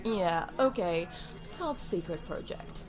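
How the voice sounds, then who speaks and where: A woman's voice: lowish voice, animated, slow, a crowd in the background, poor audio. A woman playing a chatty, gossipy young office friend in an audio roleplay, talking in a playful, conversational way. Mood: teasing.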